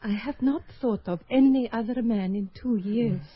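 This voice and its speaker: A pleading young skater. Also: female voice, fairly high pitch, moderate pace, husky. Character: young Soviet woman skater in love, pleading. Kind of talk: 1970s radio drama acting. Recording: dull old radio recording.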